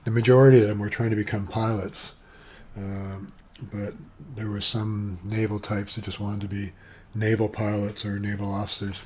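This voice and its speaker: A soft-voiced Canadian man in his seventies, sitting at his computer at home and rambling through a personal video monologue with many asides. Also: lowish voice, little pitch movement, normal speed, a little hiss or hum. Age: 70s. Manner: chatty, eccentric, wandering with asides and fillers. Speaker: vlogger. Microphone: webcam with external sound gear.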